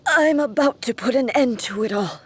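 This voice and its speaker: A woman voicing a solemn, dutiful divine ruler who speaks in a measured, formal way. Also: on the high side, slightly rough. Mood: grave. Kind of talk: game voice acting.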